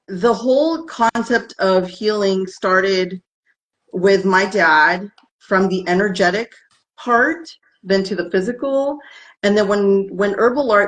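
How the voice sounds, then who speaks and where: A woman's voice: medium pitch, measured pace, fairly bright tone, poor audio. A woman guest speaking in an interview, earnest and heartfelt, bilingual with Tagalog. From United States. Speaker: guest, herbalist business founder. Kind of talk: interview conversation.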